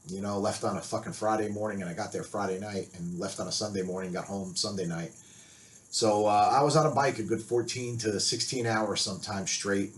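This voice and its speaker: A man's voice: sits low, a little hiss or hum. A middle-aged man, a biker and author, telling a road-trip story to camera in a rambling, blunt way and swearing constantly.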